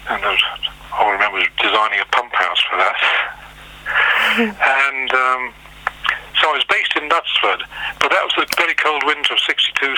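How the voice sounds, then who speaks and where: An older man from Liverpool, recalling his life in an oral history interview, unhurried and rambling with frequent pauses and restarts. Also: mid-range voice, sharp tone, rough recording. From Liverpool, England.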